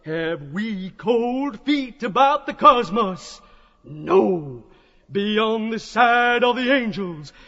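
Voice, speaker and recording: High-pitched revival preacher, echoing. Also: male voice, animated, takes it slowly, bright, forceful tone, heavily acted. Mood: exhorting. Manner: hectoring, folksy revival-tent patter. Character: fervent American evangelist prophet Elijah, hawking salvation like a showman. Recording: muffled audio in a long echoing hall.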